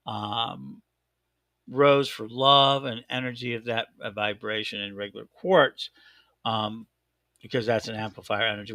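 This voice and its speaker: A man hosting a casual show in a thick Chicago accent, rambling excitedly and often doubling back on himself. His voice is bright and gravelly. The sound is close and dry. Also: mid-range voice, dry recording. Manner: excitable, rambling, self-correcting. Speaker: host. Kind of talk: casual live-stream chat.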